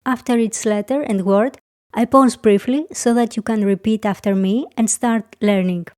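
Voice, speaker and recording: A woman who grew up speaking Greek, with a Greek accent, narrating a scripted beginner lesson in a slow, careful, clearly enunciated way. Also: on the high side, fairly even intonation, a little mellow. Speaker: language teacher.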